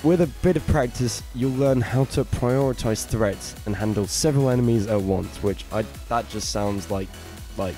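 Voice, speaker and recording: Hoarse man chatting games news. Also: medium pitch, normal speed, few pauses, dark tone, soft background music. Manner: enthusiastic, chatty, hesitant fillers. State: raspy throat. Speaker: co-host of gaming news show. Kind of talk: casual news roundup chat.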